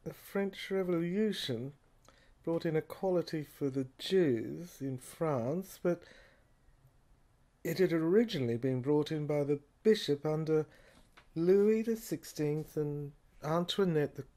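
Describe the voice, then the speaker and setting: A man playing a dry, muddled police officer in an amateur audio drama, muttering haltingly as he puzzles through files. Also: on the high side, warm tone. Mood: studious, halting. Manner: halting, muttering, often clearing throat. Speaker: actor in a serial audio drama.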